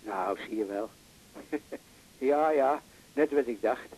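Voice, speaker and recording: A man's voice: medium pitch, takes it slowly, darkish tone, poor audio. An actor playing a chatty, boastful, jovial elderly male patient in a radio drama, with a folksy way of talking. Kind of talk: naturalistic radio drama acting.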